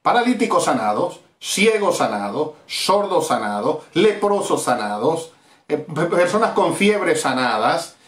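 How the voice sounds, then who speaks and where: A man's voice: a little high, sing-song, a little slow, fairly bright tone, echoey room. A middle-aged Venezuelan man preaching a fervent evangelistic sermon as pastor, with emphatic repetitions. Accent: Venezuelan Spanish. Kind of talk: evangelistic sermon streamed live.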